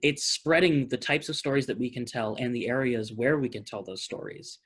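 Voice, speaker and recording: A man's voice: medium pitch, moderate pace, a bit bright, husky. A man hosting an informal post-show talk in a polite, hesitant conversational way. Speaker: talkback host. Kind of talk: informal moderated talkback.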